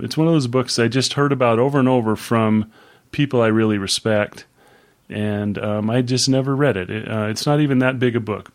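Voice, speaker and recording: Rambling middle-aged man, podcast co-host. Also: mid-range voice. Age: 50s. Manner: rambling, thoughtful, many fillers. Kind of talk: casual book chat.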